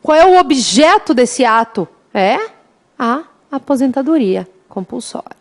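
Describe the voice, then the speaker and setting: A woman's voice: high-pitched, very animated, unhurried. A woman from the interior of Rio Grande do Sul with a southern Brazilian accent, teaching an online law exam prep class in a chatty, teasing way. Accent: interior Rio Grande do Sul Brazilian Portuguese. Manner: chatty, teasing, addresses students warmly as "gente". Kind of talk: lively online class, singing mnemonic samba.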